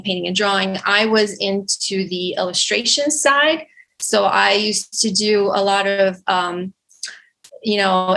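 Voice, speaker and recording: A Romanian woman, a native speaker of Romanian, talks slowly and thoughtfully as a podcast guest. Her voice is bright and forward, and she pauses often with fillers and restarts. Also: medium pitch, rough recording. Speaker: guest artist. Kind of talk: podcast interview.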